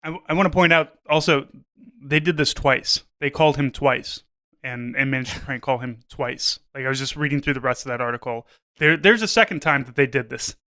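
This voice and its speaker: A man's voice: medium pitch, a little fast, pushed and bright. A man co-hosting a podcast in casual comedic banter, joking, swearing and repeating his words. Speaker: podcast co-host.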